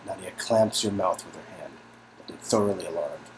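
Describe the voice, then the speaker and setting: A man's voice: low voice, brisk, a little hiss or hum, poor audio. A man reading scripted story narration as the narrator of an interactive game.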